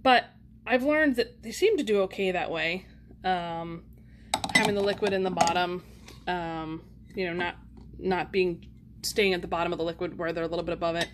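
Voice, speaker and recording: A woman's voice: on the low side, normal speed. A woman giving a casual, unscripted show-and-tell as a home vlogger, rambling and chatty with self-deprecating asides. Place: indoors at home. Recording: clear background noise, handling clicks.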